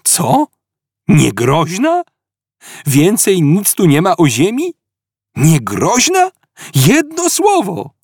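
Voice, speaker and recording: Brief: a high-strung, indignant stranded traveler, voiced by a male narrator, husky and full of swoops. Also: animated, moderate pace, no room sound, clean studio sound. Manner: agitated, exclaiming. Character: flustered, indignant Englishman stranded in space.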